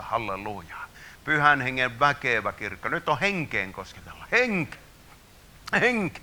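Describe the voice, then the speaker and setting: A man leading a charismatic healing prayer, prophesying over people in a fervent style that swings between hushed murmurs and loud declarations. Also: on the low side, slight background noise. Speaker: preacher.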